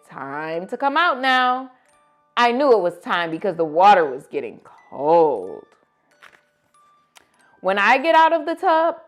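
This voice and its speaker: A woman reading picture books aloud to children as host, with expressive, dramatic emphasis. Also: medium pitch. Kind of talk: animated storybook read-aloud for children.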